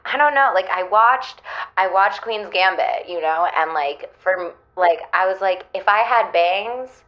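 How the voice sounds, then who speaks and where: Over a slightly muffled, lo-fi line comes a woman acting a flighty young caller, dramatic and restless. She talks haltingly, doubling back on herself, at an ordinary middle pitch. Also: close to an everyday voice. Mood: restless, wistful. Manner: halting, repeats herself, peppered with "like". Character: flighty, dramatic young woman forever reinventing her personality. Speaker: caller to advice show. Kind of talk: improvised comedic phone-in.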